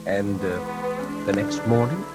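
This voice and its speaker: A man playing a measured, skeptical prison warden in a 1940s radio drama, questioning calmly and deliberately. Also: on the low side, over music. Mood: probing.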